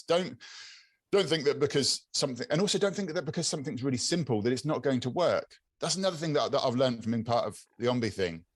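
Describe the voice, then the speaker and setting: A British man with a low, raspy but clear voice, chatting as a podcast guest over a video call and often repeating words as he searches for them. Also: no room sound, clean studio sound. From England. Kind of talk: casual interview chat.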